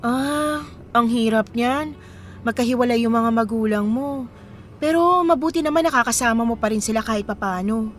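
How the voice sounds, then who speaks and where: A woman with a Filipino accent playing a kind, curious young traveler in casual, expressive radio drama dialogue. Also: on the high side, moderate pace, lightly acted. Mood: sympathetic.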